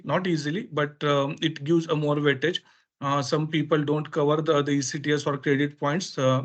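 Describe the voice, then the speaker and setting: A man with an Indian accent, a native Marathi speaker, explaining things informally as a webinar presenter in halting, filler-heavy phrases. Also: a little high. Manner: halting, hesitant phrasing with frequent filler pauses. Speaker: education consultant presenting a webinar.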